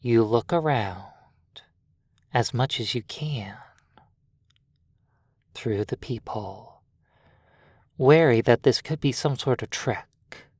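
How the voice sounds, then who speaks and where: A narrator softly telling a sleep hypnosis roleplay story in a breathy voice, deliberately, with long pauses between phrases. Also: mid-range voice, no echo, studio quality. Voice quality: breathy, soft.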